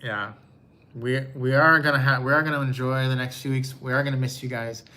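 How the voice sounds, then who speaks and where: An older man co-hosting a casual live stream, easygoing and quick to chuckle. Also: mid-range voice, steady tone, pushed and bright. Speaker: co-host of a travel channel.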